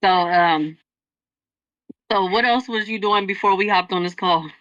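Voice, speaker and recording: A woman's voice: mid-range voice, a little slow, a bit bright, dry recording, muffled recording. A woman interviewer asking questions in a casual interview over a call, chatty and loose, often fumbling and restarting her questions.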